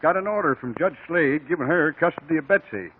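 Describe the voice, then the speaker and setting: An actor playing a plainspoken small-town American sheriff in a 1950s radio drama, with rustic, ungrammatical country talk. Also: male voice, on the high side, brisk, husky, phone-quality sound. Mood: grave, matter-of-fact. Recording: old band-limited radio recording.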